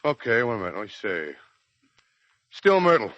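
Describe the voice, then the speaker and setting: An actor playing a naive, lovable, slow-witted serviceman with a plaintive, simple way of talking in a 1940s radio drama. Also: medium pitch, big pitch swings, fairly bright tone, slight background noise. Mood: earnest. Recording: dull 1940s radio, crowd behind.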